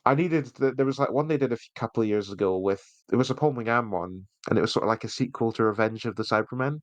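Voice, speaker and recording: A man's voice: medium pitch, quick pace, no room sound, lo-fi sound. A man co-hosting a casual review chat, talking in a jokey, teasing way. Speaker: podcast co-host.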